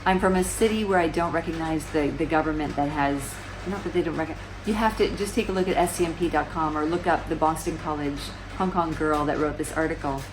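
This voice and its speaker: Low-voiced woman vlogger chatting. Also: brisk, few pauses, noisy background. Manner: conversational, many restarts, rhetorical questions. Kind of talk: casual explainer monologue. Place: small room indoors, seated on a sofa.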